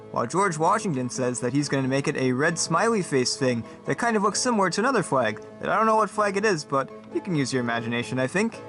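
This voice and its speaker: A man puts on a peppy TV debate moderator for a comedy sketch. The voice rides high and bright, pushed forward with bombastic showmanship. Faint music plays behind it. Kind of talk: game-show style hosting.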